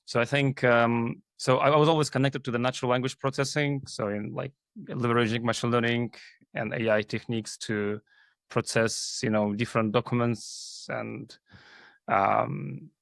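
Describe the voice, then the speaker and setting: A man from Kazakhstan talks as a guest in an interview. His mid-pitched voice is recorded dry, and he explains things in a halting, conversational way. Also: steady tone, gravelly, fairly bright tone, no echo. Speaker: guest, AI team leader. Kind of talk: interview talk.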